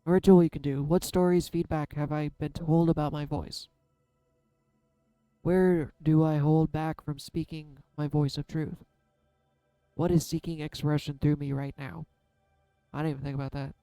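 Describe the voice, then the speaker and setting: Man, tarot reader, soft-spoken, smooth dark tone, candid vlog delivery, faint music underneath. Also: on the high side, flat delivery, normal speed, dry recording, low-quality recording. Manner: candid, self-deprecating, musing aloud. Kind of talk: casual reflective vlog.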